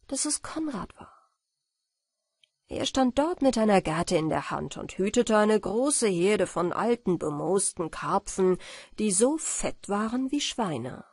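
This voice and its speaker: Slow, expressive woman reading fairy tales. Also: medium pitch, breathy edge, no echo, studio quality. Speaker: audiobook narrator. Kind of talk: expressive fairy-tale narration.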